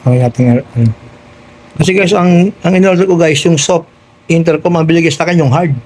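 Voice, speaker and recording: A man's voice: fairly high pitch, a little fast, a little mellow, rough recording. A Filipino man hosting a casual hands-on tutorial vlog, chatting directly to his viewers. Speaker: vlogger hosting an unboxing and installation.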